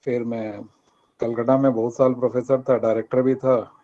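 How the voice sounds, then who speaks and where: A man's voice: medium pitch, flat delivery, normal speed, dark tone. An older man from Rajasthan giving students an earnest motivational talk and asking them to answer back. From Rajasthan, India. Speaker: guest speaker at a college workshop. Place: online video meeting. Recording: dull lo-fi online call audio.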